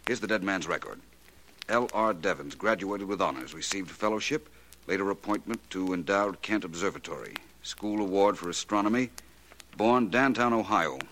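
Male radio sleuth: lowish, bright, polished, theatrical, probing. 1940s style. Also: moderate pace, a little hiss or hum, low-quality recording. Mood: absorbed, methodical. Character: shrewd, courteous, self-assured male amateur detective. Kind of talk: 1940s radio detective drama acting.

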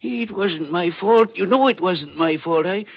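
A haunted former executioner from an old radio thriller. His voice is husky and rather high, halting and full of dread. The old broadcast sounds dull and muffled. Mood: haunted, defensive. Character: frightened, guilt-ridden former state executioner. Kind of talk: 1940s radio mystery acting.

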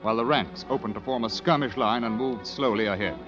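A man narrating a radio war adventure in a grave, literary, measured storytelling manner. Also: mid-range voice, dull audio, lightly acted. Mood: tense, grave. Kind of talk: 1940s-50s radio drama narration. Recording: old band-limited radio recording with music.

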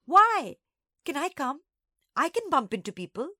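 Casting: nosy kid sister, tiny high squeaky voice, pestering and eager, children's story. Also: female voice, very animated, pushed and bright, no room sound, studio quality, full character voice. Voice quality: small, squeaky voice. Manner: pestering, rapid eager questions. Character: small, eager, nosy little sister schoolgirl.

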